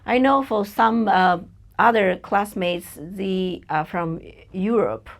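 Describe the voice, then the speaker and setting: Chinese-accented woman, native Chinese speaker, podcast guest; slow and halting, some vocal fry, plenty of fillers. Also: medium pitch. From China. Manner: hesitant, searching for words, many fillers. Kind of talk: personal interview.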